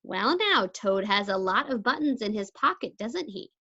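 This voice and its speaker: A woman teacher reading a storybook aloud to young children with expressive, deliberate articulation, in a slightly dull lo-fi recording. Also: medium pitch. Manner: deliberate articulation, asks listeners questions.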